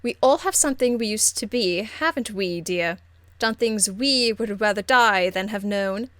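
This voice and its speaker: A woman voicing a haughty, aristocratic lady mage from a fantasy game, icily polite, measured and condescending. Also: mid-range voice, a bit bright, no room sound, natural voice. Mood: poised menace. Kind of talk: video game character acting.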